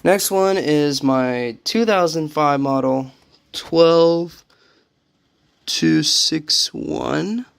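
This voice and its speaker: A man's voice: on the high side, drawn-out words, gravelly, smooth. A young man giving a casual show-and-tell of his collection, rambling and correcting himself as he goes. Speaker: radio collector showing a collection. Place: small cluttered room indoors.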